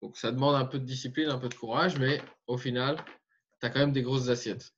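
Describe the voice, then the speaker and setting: A man's voice: mid-range voice, steady tone, a little fast. A man coaching and interviewing, explaining his points emphatically. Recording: dull muffled audio.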